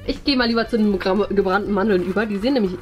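A woman's voice: mid-range voice, rapid-fire. A young adult woman who hosts a vlog, narrating and chatting casually in an indoor kitchen. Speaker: host of a crafts and party vlog. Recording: music behind. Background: background music.

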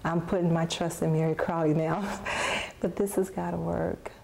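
A woman's voice: on the low side, little pitch movement, normal speed, vocal fry, very noisy. A woman in her thirties with an American accent, talking casually and from the heart in an interview. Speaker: patient being interviewed. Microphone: lapel mic.

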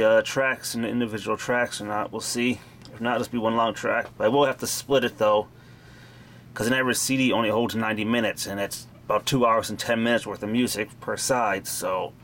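Male hobbyist vlogger, mid-pitched, casual and matter-of-fact, camera mic with faint room noise. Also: fairly bright tone. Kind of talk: casual handheld demo narration. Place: dim indoor room at home. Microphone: camera mic, held by speaker. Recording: clearly noisy handheld camera audio.

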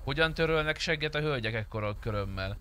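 A young man with a bright, forceful voice improvises casual roleplay banter in a game. He jokes crudely and curses freely, with a little background noise on his voice chat. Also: mid-range voice, expressive. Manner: crude joking, cursing. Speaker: streamer playing a roleplay game as a customer.

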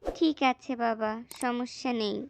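Woman playing a high-voiced, devoted student daughter. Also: a little slow, gravelly, a little hiss or hum. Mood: earnest. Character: devoted, determined young student daughter, affectionate and reassuring. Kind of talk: acted cartoon dialogue.

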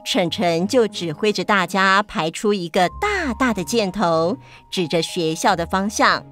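A woman's voice: medium pitch, fairly bright tone. A woman narrating a scripted story for children.

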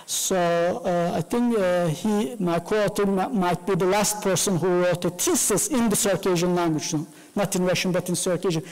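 A man's voice: sits high, fairly even intonation, moderate pace, hardly any pauses, a little mellow, big-room sound, low-quality recording. A man whose first language is Turkish, giving an academic lecture at a lectern with many fillers. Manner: gestures while talking, frequent fillers. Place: lecture room. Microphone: lectern mic, far camera.

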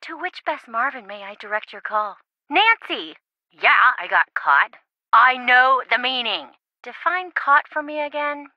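A woman voicing a quirky, sarcastic, excitable young reality-show contestant in a video game, with playful theatrical quips. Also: sits high, unhurried, dry recording, lo-fi sound. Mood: animated, quippy.

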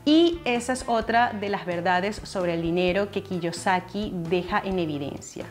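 A woman's voice: medium pitch, a little slow, soft background music. A young woman hosting a finance video, explaining steadily and speaking straight to the viewer from a couch at home on a lapel mic. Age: young adult. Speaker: finance channel host. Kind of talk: explanatory talk to camera. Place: living room, seated on a couch indoors.

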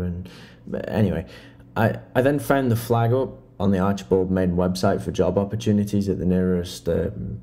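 A young British man acting an eager but nervous teenage job applicant. His voice is low and soft-edged, and he speaks hesitantly, stammering and restarting as in a naturalistic horror audio drama. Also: close to an everyday voice. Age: late teens. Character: nervous, eager nineteen-year-old male job applicant.

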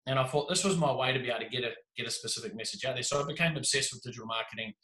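A man in his thirties with a New Zealand accent, telling his story as a podcast guest on a video call, animated and jokey. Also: mid-range voice, rapid-fire. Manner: animated, gestures a lot, jokey. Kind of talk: casual storytelling. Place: small room indoors. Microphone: video call with desk mic. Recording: echoey room.

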